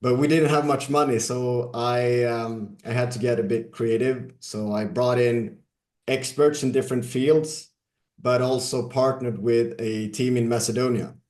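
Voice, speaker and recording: A man from Sweden, a guest on an interview podcast, telling his career story in a measured, casual way with some fillers. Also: medium pitch, steady tone, a little mellow, echoey room. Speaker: guest, software company CEO.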